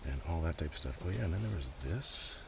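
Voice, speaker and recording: A man giving a quiet, rambling ASMR show-and-tell, murmuring unhurriedly and drifting in and out of a whisper. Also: deep voice, lively intonation, quick pace. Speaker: ASMR video host. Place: outdoors in a gentle breeze. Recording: clear outdoor ambient noise. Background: outdoor ambience, breeze.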